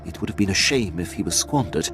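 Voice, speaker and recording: A dutiful Imperial officer in a video game. His low voice moves with lively inflection, formal and deferential, with music clearly behind it. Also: fast talker. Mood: dutiful, pleased. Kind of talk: scripted video game dialogue acting.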